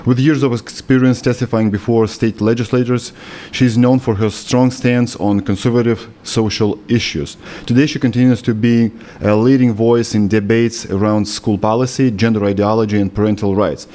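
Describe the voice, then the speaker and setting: A man's voice: fairly low pitch, steady tone, dark tone. Lithuanian-accented man hosting an interview. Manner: careful, slightly halting non-native English. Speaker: host.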